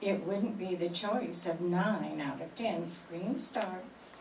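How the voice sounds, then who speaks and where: An older woman reading a chirpy woman in an old-time radio soap commercial, with a sweet sales-pitch delivery. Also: takes it slowly, a little mellow. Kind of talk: 1940s radio commercial acting. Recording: echoey room, distant mic, faint hiss.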